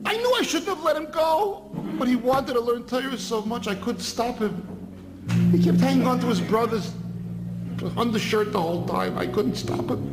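A man voicing a frantic, pleading father, pitched up and shouting. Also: lively intonation, normal speed, roomy sound, in a large hall, loud music behind the voice, low-quality recording.

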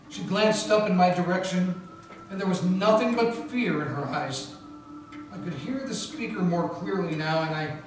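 Wry hard-boiled detective narrator, distant. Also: male voice, a little high. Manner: wry, hard-boiled first-person storytelling. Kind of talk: live 1940s-style radio play narration. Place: historic mansion room with live audience and Christmas tree. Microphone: stand mic, filmed by a far camera. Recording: echoey room taped from far off.

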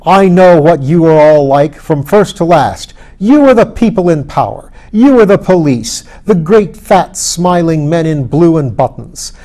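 A man's voice: fairly high pitch, lively intonation, normal speed, warm tone, lightly acted. A man reading a storybook, voicing a bitter, defiant anarchist who declaims with passion against the powerful. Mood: furious, cursing. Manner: impassioned, declamatory.

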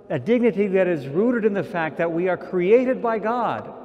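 Man in his 60s, slow deliberate sermon, slightly high voice, long church reverb. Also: darkish tone. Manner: slow, measured preaching with repeated phrases. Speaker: archbishop. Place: large church sanctuary, indoors. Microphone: stand mic at pulpit.

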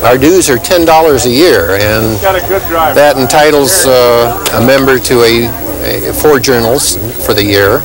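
An older man gives an informal explanation as a club organizer. His pitch is average, and an outdoor crowd is clearly audible behind him. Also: slow, poor audio. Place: outdoor car park swap meet. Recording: outdoor crowd and traffic sound.

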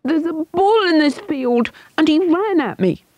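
Young boy, terrified and whimpering, read by a woman, high and pleading, for a storybook reading. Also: lively intonation, a little slow, darkish tone, no echo. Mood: frightened pleading. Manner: pleading, panicky, close to tears. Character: frightened young boy stuck up a tree.

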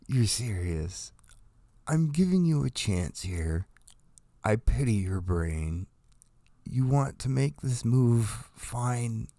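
A man's voice: mid-range voice, dark tone, dry recording, exaggerated voice. A man voicing a smug, condescending chess player with a patronizing, needling manner.

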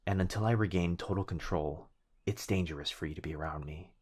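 Casting: male actor as an anxious young boyfriend, protective and earnest, lowish voice, ASMR roleplay. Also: a little fast, darkish tone, husky. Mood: urgent, worried. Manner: urgent, earnest.

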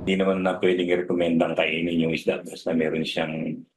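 A middle-aged man with a very low voice speaks from an office into a webcam. He answers in halting bursts, restarting phrases and sliding between languages. His tone is official and explanatory. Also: level tone, slight background noise, rough recording. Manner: halting, mixes in English, many fillers and restarts. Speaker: regional fisheries director. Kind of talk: interview answers. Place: indoor office. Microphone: video call webcam.

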